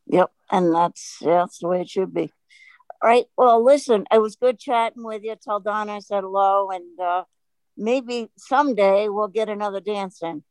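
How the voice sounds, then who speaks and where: A woman calling in to a talk show, friendly and halting. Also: medium pitch, darkish tone, no echo, low-quality recording. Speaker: caller. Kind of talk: casual call-in chat.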